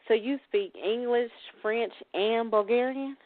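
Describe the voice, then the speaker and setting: A woman's voice: fairly high pitch, measured pace, dry recording. A woman hosting an interview, asking questions with brief encouraging reactions; she is a children's author herself. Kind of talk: casual interview. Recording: dry tinny phone-like line.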